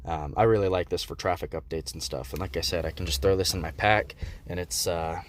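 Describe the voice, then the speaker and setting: Male amateur reviewer, deep register, easygoing and hesitant, unscripted, slight background noise. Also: steady tone, fairly quick. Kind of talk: casual unscripted product review.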